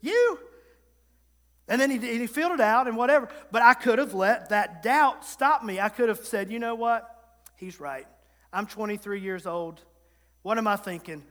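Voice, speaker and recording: A man's voice: sits high, fairly bright tone. A man preaching a sermon in his thirties, emphatic and repetitive, tossing short call-and-response asides to the congregation. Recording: long reverb of a large hall.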